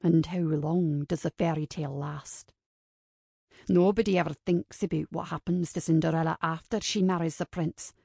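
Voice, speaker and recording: A woman's voice: fairly even intonation, normal speed, creaky, dark tone, slightly rough, no room sound, clean studio sound, heavily acted. A woman voicing a cynical, rakish man from a romance novel, murmuring with sardonic wit. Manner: low murmuring, sardonic delivery.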